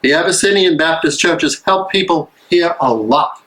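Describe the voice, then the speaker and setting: A man acting an earnest, weary American minister of the 1930s in a live dramatic reading, speaking emphatically and deliberately. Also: a little high, lively intonation, bright, forceful tone, poor audio. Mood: aggrieved, earnest. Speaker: actor in a dramatic play reading.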